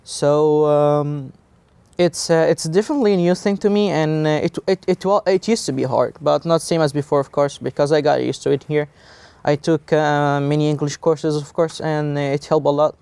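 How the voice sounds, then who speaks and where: A young man from Egypt, a native Egyptian Arabic speaker, answering interview questions in a small TV studio, halting and blunt with many fillers and restarts. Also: fairly high pitch, fairly even intonation, vocal fry, a little mellow, clean tone, no room sound. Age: young adult. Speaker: student guest.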